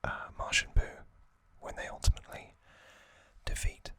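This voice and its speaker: A man whispering breathily as an ASMR host, telling a story in hushed, short phrases with pauses between them. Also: no room sound. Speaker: host of an ASMR trivia channel.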